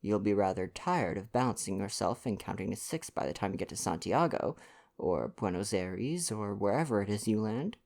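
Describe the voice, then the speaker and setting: A man voicing an earnest, politely argumentative gentleman sidekick in a story reading. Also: fairly low pitch, animated, normal speed, creaky. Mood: teasing. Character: Poirot's male sidekick, earnest, mildly argumentative English gentleman.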